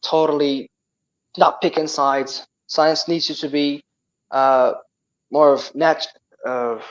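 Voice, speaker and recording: A young man with a Moroccan accent, a Tamazight speaker, chatting as a guest, animated and full of restarts and repeated words. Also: on the high side, slow, low-quality recording. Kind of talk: casual chat.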